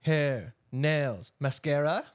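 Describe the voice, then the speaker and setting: A man's voice: fairly high pitch, slow pace, clear, resonant tone, dry recording. A man with an American accent chatting casually and playfully as a podcast co-host. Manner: playful, bantering. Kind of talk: casual chat.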